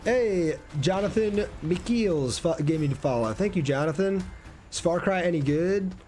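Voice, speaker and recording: A man's voice: sits high, unhurried, dark tone. Casual man streaming, music behind him. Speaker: game streamer. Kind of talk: casual live gameplay commentary and chat.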